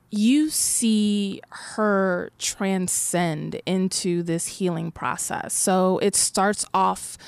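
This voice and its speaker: A woman's voice: medium pitch, darkish tone, clear, resonant tone, no room sound. A woman answering an interview question in a reflective, flowing way, with many fillers and restarts. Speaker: director of a one-woman show.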